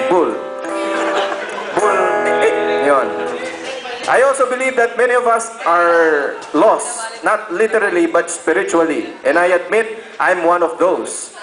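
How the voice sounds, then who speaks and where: A Filipino man in his thirties, a native Tagalog speaker, reading a letter aloud in a playful, stumbling way with joking asides. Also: high-pitched. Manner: playful, stumbling, breaks into chuckles and side comments. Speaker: reader of a friend's message. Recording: echoey room with loud music behind. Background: loud instrumental music.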